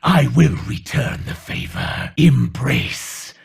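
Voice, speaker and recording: Very slow, gravelly monstrous villain. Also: male voice, medium pitch, exaggerated voice. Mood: menacing. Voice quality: gravelly, raspy. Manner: slow, theatrical, mockingly condescending. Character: gloating menacing monstrous male villain, sadistically playful. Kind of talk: video game villain dialogue.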